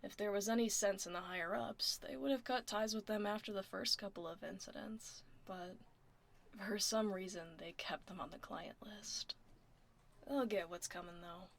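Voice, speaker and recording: A woman playing a laid-back tomboy werewolf office admin in a soft, casual, wry ASMR roleplay. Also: mid-range voice, moderate pace, gravelly. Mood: confiding, wry. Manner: casual, reassuring, wry, murmuring. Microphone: close binaural ASMR microphone. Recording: close binaural mic, faint background noise.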